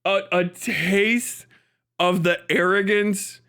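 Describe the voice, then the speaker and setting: A man's voice: sits high, steady tone, takes it slowly, pushed and bright, clean tone. An American man giving casual, off-the-cuff live commentary as a streamer, joking and swearing irreverently. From United States. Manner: breaks often into chuckles. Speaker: livestreamer and podcast host.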